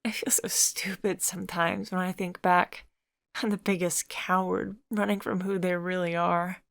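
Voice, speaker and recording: Woman playing a hesitant, sheepish young adult, soft and low, comic dub. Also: flat delivery, moderate pace, vocal fry, no echo. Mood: timid. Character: shy, self-conscious young adult, a boy inside.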